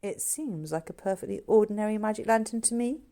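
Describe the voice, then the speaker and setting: A woman's voice: medium pitch, brisk, gravelly, mellow, voice pushed up, natural voice. A woman voicing an earnest boy who takes charge of a mystery with grown-up airs. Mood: earnest, eager. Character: eager, knowing boy taking charge of a mystery.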